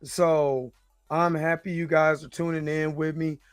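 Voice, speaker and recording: A man with a slightly hoarse voice hosting a livestream, talking casually in an informal, rambling, hesitant way. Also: on the high side, flat delivery, a little slow, dry recording, faint music behind. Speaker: host of a livestream reaction show.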